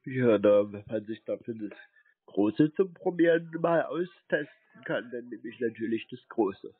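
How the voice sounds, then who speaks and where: A man making a prank phone call in a deadpan, naive manner. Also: mid-range voice, unhurried, husky, no echo. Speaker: prank caller, scam baiter. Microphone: telephone.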